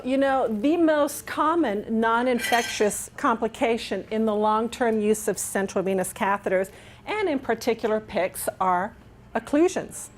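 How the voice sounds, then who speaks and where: A middle-aged woman from England, with no trace of an accent, giving a nurse education talk in a dining room, upbeat and chatty and often asking the audience to agree. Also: fairly high pitch. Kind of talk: conference presentation. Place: dining room with large windows.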